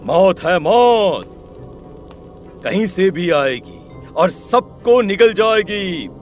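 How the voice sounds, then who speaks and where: A man's voice: high-pitched, sing-song, extremely slow, faint music behind, exaggerated voice. A man playing a grave village tantric in a horror cartoon, speaking with solemn, ominous warning. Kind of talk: Hindi horror cartoon acting.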